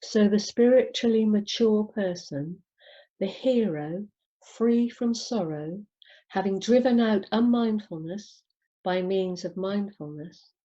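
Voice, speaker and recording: A woman reading scripture aloud in a measured, deliberate way, pausing between short phrases. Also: medium pitch, mellow. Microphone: video call over Zoom. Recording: dull, lo-fi video call.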